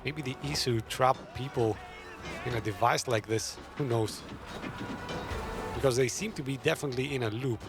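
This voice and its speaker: Male game streamer over loud music. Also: mid-range voice, normal speed, pauses often. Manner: musing aloud, chatting to viewers. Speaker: gaming streamer. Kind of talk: casual live game commentary.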